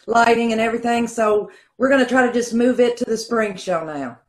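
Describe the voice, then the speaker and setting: A woman's voice: a little high, fairly quick. A middle-aged woman, making casual announcements over a video call from a room at home. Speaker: troupe member making announcements. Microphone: video call microphone. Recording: roomy, echoey video call.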